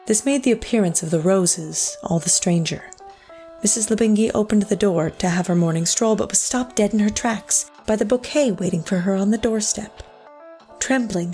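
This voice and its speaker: An actress playing a solemn storyteller, narrating a scripted dramatic tale in a measured, literary way. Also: female voice, medium pitch, warm tone, faint music behind, natural voice. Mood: somber. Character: solemn female storyteller of a sad ghost tale.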